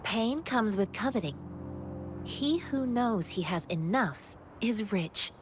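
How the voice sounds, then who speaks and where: An actress voicing an earnest, generous young wandering martial disciple in a video game, speaking in a calm, formal, sage-quoting manner. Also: female voice, medium pitch, slow pace, over music. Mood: earnest, composed.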